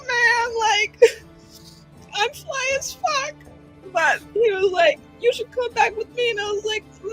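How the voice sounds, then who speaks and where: Woman acting a shrill, melodramatic young mage. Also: rough recording. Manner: wailing, breathless run-on storytelling. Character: distraught, indignant young woman mage, boastful and dramatic. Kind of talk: in-character tabletop roleplay.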